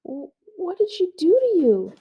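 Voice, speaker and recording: A brash, defiant young girl with a high, piping voice, put on by a woman reading a storybook aloud. She talks back boldly, with a slight gravelly catch underneath. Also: big pitch swings, measured pace, dull audio, heavily acted. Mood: anxious. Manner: high, bold, shaky at first.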